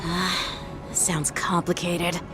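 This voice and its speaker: A woman voicing an earnest, polite young male lieutenant in a game, speaking in a formal, measured way. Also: lowish voice, little pitch movement, over music. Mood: thoughtful. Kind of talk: scripted game voice acting.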